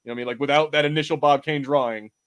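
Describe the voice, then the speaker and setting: A man's voice: fairly high pitch, steady tone, racing, a bit bright, clear, resonant tone. A man co-hosting a podcast, chatting casually and opinionatedly with slang and some swearing. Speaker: podcast co-host. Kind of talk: casual watch-party banter about a film.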